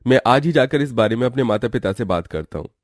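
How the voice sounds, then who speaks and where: A man's voice: medium pitch, expressive, quick pace, no echo, studio quality, natural voice. A man voicing a callous, selfish young husband with an emphatic, self-pitying manner in a cartoon story. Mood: determined.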